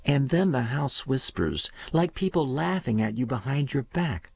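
A man's voice: mid-range voice, big pitch swings, a little slow, creaky, breathy edge, no room sound, dull audio, pitched above the performer's own voice, full character voice. A man reading aloud, voicing an eager, anxious young boy who is secretive and intense. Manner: earnest, pleading.

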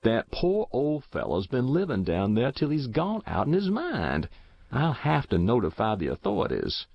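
A man's voice: mid-range voice, wildly swooping pitch, normal speed, no room sound. A man voicing a solid, blunt farmer with a rural drawl. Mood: astonished. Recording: dull muffled dry recording.

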